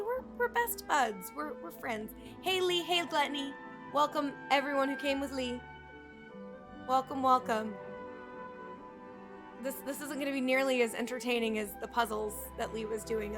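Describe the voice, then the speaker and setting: A woman's voice: sits high, normal speed. A woman livestreaming a video game, chatting casually to viewers and reacting as she plays. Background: game music.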